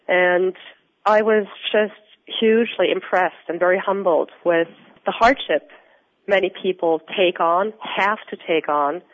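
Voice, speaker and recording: A woman from Austria with an Austrian accent, a researcher answering interview questions in an earnest, explanatory, measured way. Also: fairly low pitch. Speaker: researcher and guest. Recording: tinny phone-line audio.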